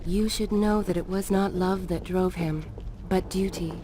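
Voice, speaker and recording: An actress playing a solemn, wistful female storyteller who narrates a fantasy game in formal, measured phrases. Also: on the low side, steady tone, slight background noise, natural voice, rough recording. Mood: wistful reproach. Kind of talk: scripted dramatic story narration. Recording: loud music behind the voice. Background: game soundtrack music.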